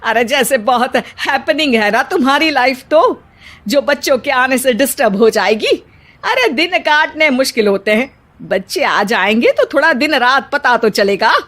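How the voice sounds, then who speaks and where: A woman's voice: sits high, lively intonation, normal speed, a bit bright. A woman voicing a nagging, teasing older housewife and grandmother, scolding and sarcastic, in a family comedy story.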